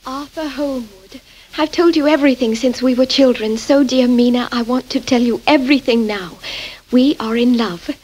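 Bright, high-voiced young Victorian woman. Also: normal speed, dull audio. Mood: eager. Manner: bright, eager, confiding. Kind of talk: 1970s radio drama.

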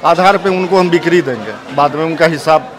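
Middle-aged trader from Bihar, high voice, confident, busy market crowd behind. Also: male voice, a little fast. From Bihar, India. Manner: confident, explains plainly, repeats "bilkul". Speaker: fish wholesaler. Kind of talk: interview answers. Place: fish market stall office.

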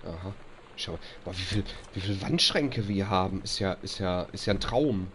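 A young man with a stuffy, allergy-blocked nose talks over gameplay, his voice sitting low. He reads game text aloud and grumbles when things break, with a faint hum behind him. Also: moderate pace, a bit bright. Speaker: let's play host. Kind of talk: casual gameplay commentary.

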